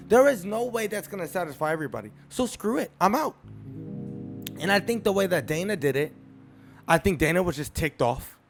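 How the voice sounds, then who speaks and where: A man giving a casual, animated opinion vlog and repeating phrases for emphasis, with music playing behind him. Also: a little high. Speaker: sports commentary YouTuber.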